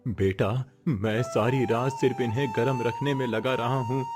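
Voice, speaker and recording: A man's voice: medium pitch, moderate pace, over music, lightly acted. A man acting a poor, weary but resolute village father, earnest and comforting, in a cartoon moral story. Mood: weary, grateful.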